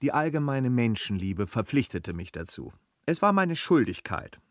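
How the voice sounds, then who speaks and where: An actor in a period radio play playing a courteous, upright gentleman traveller who speaks politely and formally. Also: mid-range voice, very animated, moderate pace, no room sound, studio quality. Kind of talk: German radio play, classical period dialogue.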